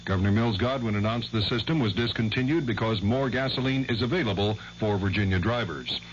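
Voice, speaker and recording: A man's voice: lowish voice, brisk. An American man reading a scripted radio news bulletin. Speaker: radio newsreader. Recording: dull old radio recording, faint hum.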